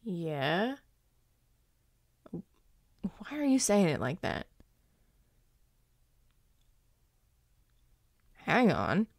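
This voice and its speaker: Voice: mid-range voice, dry recording, full character voice. An actor playing a grumpy but caring werewolf boyfriend in an intimate audio roleplay, dry and exasperated, with sighs. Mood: concerned, tender. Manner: sighing, dry, exasperated, teasing.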